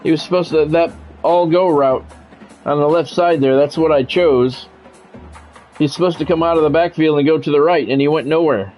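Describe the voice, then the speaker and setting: Higher-pitched man commentating a football game. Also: steady tone, moderate pace, darkish tone. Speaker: game streamer and play-by-play commentator. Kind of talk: sports play-by-play mixed with casual stream chat. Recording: dull lo-fi audio, music behind.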